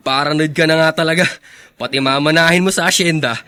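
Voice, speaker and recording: A man's voice: on the high side, normal speed, sharp tone, clear, resonant tone. A man playing a teasing young confidant in a Filipino radio drama, casual and taunting in delivery. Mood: teasing, amused.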